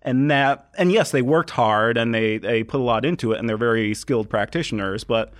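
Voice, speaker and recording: A man's voice: mid-range voice, a little fast. A man hosting a casual podcast interview, asking blunt, skeptical questions. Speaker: podcast host.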